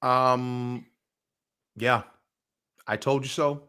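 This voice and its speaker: A man's voice: medium pitch, steady tone, very slow, noisy background. A man co-hosting a wrestling podcast with joking, slangy banter, repeating phrases for emphasis. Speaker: co-host of a pro wrestling podcast; pro wrestler.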